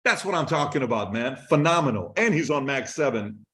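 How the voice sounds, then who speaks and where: A man's voice: medium pitch, lively intonation, brisk, fairly bright tone. A man leading a business meeting, announcing contest results in an upbeat, hyped way. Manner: upbeat and hyped, with bursts of enthusiasm.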